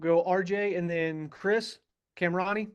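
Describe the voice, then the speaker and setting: A man moderating a press conference, introducing the speaker and calling on reporters in a brisk, functional way. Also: high-pitched, fairly even intonation, clean tone, dull audio.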